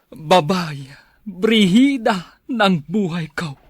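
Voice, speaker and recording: An actor playing a lovesick older suitor in a radio drama, declaiming sentimentally and haltingly with an Ilocano accent. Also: male voice, high-pitched, lively intonation, husky, no echo, studio quality, exaggerated voice. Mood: smitten.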